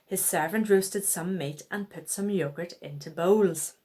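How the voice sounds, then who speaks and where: A woman's voice: lowish voice, unhurried, warm tone. A woman reading a children's Bible story aloud, expressively and with acted-out dialogue.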